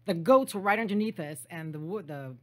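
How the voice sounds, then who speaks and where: A fast-talking woman with an American accent chats as a radio guest. She tells casual anecdotes with a breezy, wisecracking delivery. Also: fairly low pitch, expressive, a crowd in the background. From Chicago and Southern California, USA.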